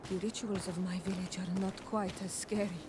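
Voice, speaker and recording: A woman's voice: lowish voice, level tone, normal speed, noisy background, close to an everyday voice. A woman playing an earnest young native companion in a fantasy game, respectful, formal and entreating. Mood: earnest, awed.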